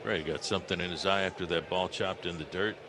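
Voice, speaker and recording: A man's voice: deep voice, fast. A man giving conversational color commentary during a baseball radio broadcast. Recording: steady background ballpark noise.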